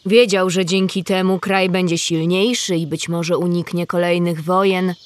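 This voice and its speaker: A woman's voice: fairly low pitch, little pitch movement, normal speed. A woman narrating a scripted story for children, explaining things directly to young listeners.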